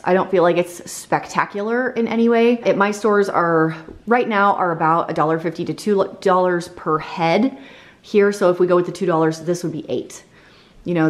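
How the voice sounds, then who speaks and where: A woman in her thirties giving a casual, candid review at home, chatty and quick to laugh at herself, filmed by a camera on the counter in her kitchen. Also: lowish voice. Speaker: vlogger. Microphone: camera on a counter at medium distance. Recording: echoey kitchen room.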